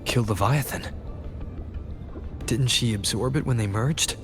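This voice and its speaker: An actor playing a serious, suspicious young warrior in a video game, stern and probing. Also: male voice, mid-range voice, a little slow, over music, close to an everyday voice. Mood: wary, questioning. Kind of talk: scripted video game voice acting.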